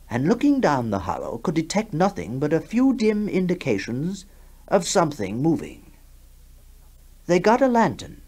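A man's voice: medium pitch, darkish tone. A man narrating a ghost story in a formal, theatrical manner. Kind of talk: dramatic reading of a ghost story.

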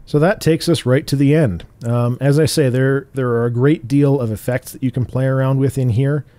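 A man with a bassy voice walks through software steps in a relaxed, explanatory way, with fillers and restarts. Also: moderate pace, no echo. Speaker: tutorial host. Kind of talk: screen-recorded software tutorial.

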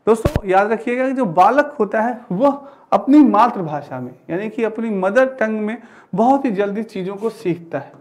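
A man's voice: high-pitched, expressive, moderate pace. Man teaching with repeated rhetorical questions. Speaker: teacher giving an exam-prep class. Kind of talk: explanatory classroom lecture to camera. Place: indoor studio with digital teaching board.

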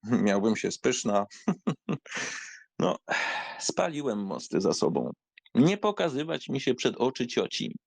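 An actor playing a carefree, mocking young count in a dramatised audiobook, with an ironic, theatrical way of talking. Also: male voice, mid-range voice, sing-song, slow pace, gravelly, pauses often, a little mellow, husky, no room sound, exaggerated voice. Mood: amused, mocking.

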